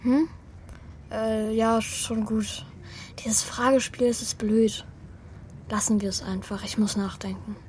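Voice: mid-range voice, little pitch movement, takes it slowly, long pauses, natural voice. A performer voicing a young boy in a children's radio play, thoughtful and hesitant, worried about his brother, both acting and narrating. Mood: brooding.

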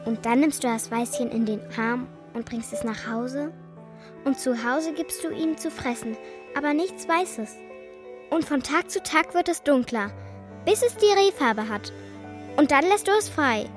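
A little girl in a children's radio play. Her voice is high, with a childlike, matter-of-fact way of doubting and imagining, and faint music sits behind it. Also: unhurried. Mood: playful.

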